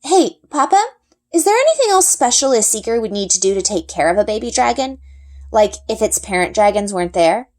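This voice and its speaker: A woman voicing an eager, secretive young girl in a storybook, talking in a bright, coaxing, emphatic way. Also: on the high side, sing-song, fairly quick, voice pushed up. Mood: eager, evasive.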